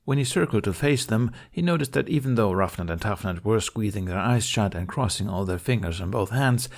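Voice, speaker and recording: A man reading a fantasy story aloud in an expressive audiobook style. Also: fairly low pitch, a little fast. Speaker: fan fiction narrator.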